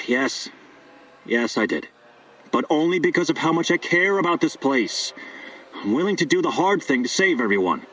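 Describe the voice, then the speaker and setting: A man's voice: on the high side, expressive, normal speed, fairly bright tone, distant mic, slight background noise, rough recording. An actor playing a scheming, persuasive male doctor in a video game, earnest and wheedling with hesitant turns. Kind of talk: video game dialogue acting.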